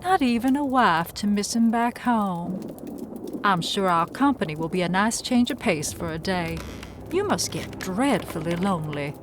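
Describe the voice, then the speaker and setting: Actress as genteel frontier hostess over noisy ambience. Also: female voice, mid-range voice, lively intonation, measured pace, natural voice. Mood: sweetly polite. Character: genteel, chatty frontier hostess and store wife with sly politeness. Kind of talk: western audio drama acting.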